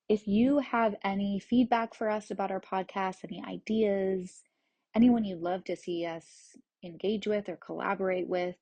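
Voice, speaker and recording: A woman hosting a podcast reads a show announcement in a calm, earnest, flowing way. Her voice is soft and mellow, with a medium pitch. The sound is dry and close, with no room echo. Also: gravelly. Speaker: podcast host.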